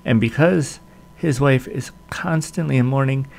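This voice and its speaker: A man's voice: mid-range voice, a little slow, vocal fry, darkish tone. A man in his forties casually hosting a video introduction to old-time radio shows.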